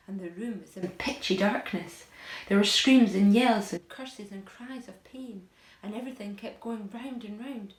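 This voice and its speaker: A woman's voice: mid-range voice, a little hiss or hum. Woman reading a story, echoey room. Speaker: teacher reading aloud. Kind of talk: expressive children's story narration.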